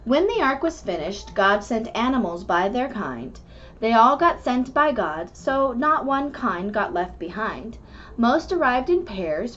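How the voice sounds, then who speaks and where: A woman reading a rhyming children's book aloud in an expressive, measured storytelling manner. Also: a little high. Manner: animated, measured storytelling delivery. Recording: dull lo-fi recording with background noise.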